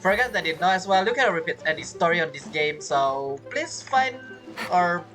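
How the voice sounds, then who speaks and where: A woman chats casually through a game playthrough, thinking aloud as she goes. Her voice sits fairly low but moves around a lot, with game music clearly behind it. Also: expressive, moderate pace, fairly bright tone. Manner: rambling, thinking aloud, self-questioning. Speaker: gamer, video creator.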